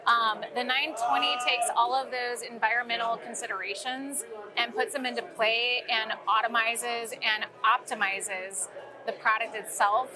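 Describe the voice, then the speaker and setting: A woman with a fairly high voice explains products conversationally, as a guest in an interview. Event crowd chatter is clearly audible behind her. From St. Louis, United States. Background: crowd at a sales meeting.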